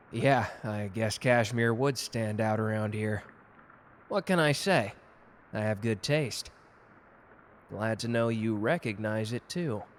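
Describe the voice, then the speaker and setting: A man's voice: lowish voice, takes it slowly, pauses often, slight background noise. A man playing a cocky, gallant and protective customer who flirts teasingly in a romantic roleplay audio drama. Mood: teasing, friendly. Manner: suave, teasing, intimate one-sided conversation.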